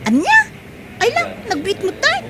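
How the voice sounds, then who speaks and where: A woman playing an excitable, exclamatory middle-aged mother and market vendor in a comedy radio-style drama. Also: very high voice, moderate pace, heavy background noise, heavily acted. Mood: shocked, nosy.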